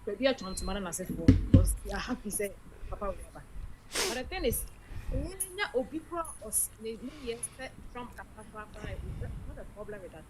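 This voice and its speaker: Ghanaian woman calling in, halting speech. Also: medium pitch, very animated, heavy background noise. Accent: Ghanaian English. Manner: halting, mixes in Twi phrases, many restarts. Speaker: caller. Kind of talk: phone-in chat.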